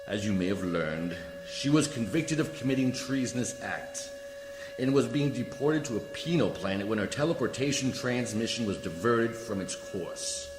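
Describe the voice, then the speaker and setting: A man's voice: medium pitch, lively intonation, moderate pace, lightly acted. A man acting a coolly formal, condescending alien judicial official in a science fiction radio play, speaking with precise diction. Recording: echoey hall reverb, music behind.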